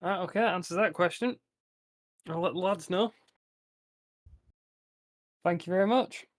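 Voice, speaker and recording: Man playing a higher-voiced, matey old settler. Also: measured pace, no echo. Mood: easygoing. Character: plain-spoken old settler man on the radio.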